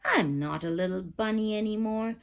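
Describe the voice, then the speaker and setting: A woman's voice: medium pitch, moderate pace, gravelly, lightly acted. A woman voicing a young male rabbit in a storybook, emphatic and keen to sound grown up. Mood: proud, insistent.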